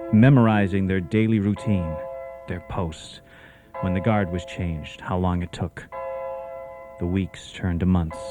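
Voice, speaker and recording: An actor narrating a period radio drama as a solemn, wistful storyteller. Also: male voice, low voice. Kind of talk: scripted narration.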